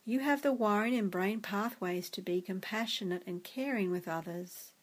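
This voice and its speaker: A woman guiding a meditation in a soft, gentle, soothing way. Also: mid-range voice, normal speed. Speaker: meditation guide. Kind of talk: guided meditation narration.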